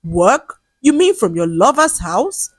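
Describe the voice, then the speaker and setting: A woman's voice: a little high, very animated, slow pace, breathy edge, dry recording, studio quality, voice pushed up, exaggerated voice. A woman voicing a cruel, jealous husband in a folktale, scornful, accusing and domineering. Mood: angry, accusing.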